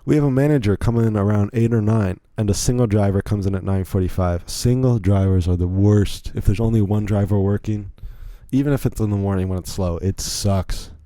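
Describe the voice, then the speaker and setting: A man's voice: on the low side, brisk, hardly any pauses, dark tone, no room sound. A young American man from Virginia hosting a solo podcast, telling stories in a rambling, chatty way with many asides. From Virginia, United States. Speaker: podcast host. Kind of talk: casual solo podcast storytelling.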